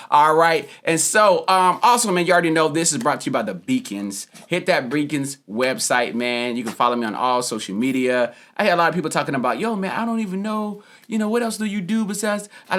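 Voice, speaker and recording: A man's voice: a little high, fairly bright tone. A man hosting a podcast interview, talking in a laid-back, slangy way with lots of fillers. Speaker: podcast host. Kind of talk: casual interview chat.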